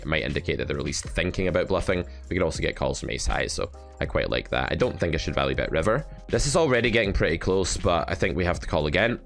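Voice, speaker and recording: Very deep-voiced male poker coach. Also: fairly bright tone. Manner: blunt, wry, sardonic. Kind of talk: live play-and-explain commentary.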